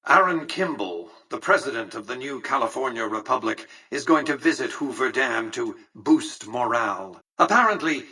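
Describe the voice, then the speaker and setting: An imperious elderly tycoon from a video game. His voice is forceful and bright with a husky grain, set slightly away from the mic. He speaks formally and precisely, with cold, condescending superiority. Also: medium pitch, distant mic, heavily acted, rough recording. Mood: scornful. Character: cold, imperious old male tycoon ruling a casino city. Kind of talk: scripted video game character dialogue.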